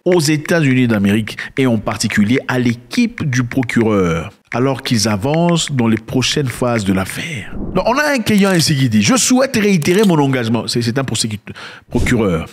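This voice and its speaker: A man giving casual spoken commentary, emphatic and sarcastic, who repeats words and swings between loud and quiet. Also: medium pitch, big pitch swings, moderate pace. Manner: sarcastic asides.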